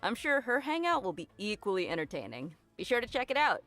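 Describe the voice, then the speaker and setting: Female co-host for a game showcase, mid-range voice, very lively intonation, light music bed. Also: medium pitch, fast. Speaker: co-host of a game preview show. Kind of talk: scripted game update presentation with upbeat reactions.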